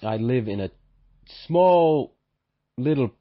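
A man's voice: mid-range voice, expressive, slow. A man from Canada, answering casually with many hesitant ums. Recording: slightly muffled recording.

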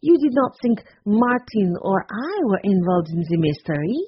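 A woman's voice: mid-range voice, big pitch swings, normal speed, breathy edge, highs cut off. A woman voicing an earnest young woman new to the country, speaking with careful, slightly unsure phrasing. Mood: sweet.

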